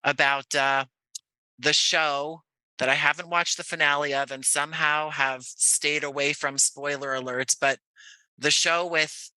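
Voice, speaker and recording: A man's voice: fairly high pitch, fairly even intonation, a little slow, sharp tone, clean tone, no echo, low-quality recording. An American man from Jersey City talking reflectively as an interview guest, in a conversational, wordy way that often doubles back on itself. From Jersey City, United States. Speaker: podcast guest, audio playwright.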